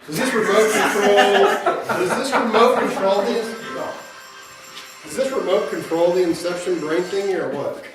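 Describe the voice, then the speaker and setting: A man's voice: sits high, normal speed, natural voice. A man playing a jaded, sarcastic skeptic in a comedy variety sketch. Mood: grumbling. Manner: sarcastic, grumbling. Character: jaded, sarcastic male skeptic who grumbles at everything. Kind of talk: comedy sketch in old-time radio variety show. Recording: very echoey, distant mic, music behind.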